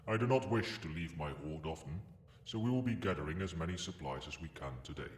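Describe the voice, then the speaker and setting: A man voicing a proud, possessive dragon with formal, haughty, measured speech in an ASMR roleplay. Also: a bass voice, animated, slight background noise. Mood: commanding, proud. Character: proud, imperious male dragon, possessive but grudgingly protective.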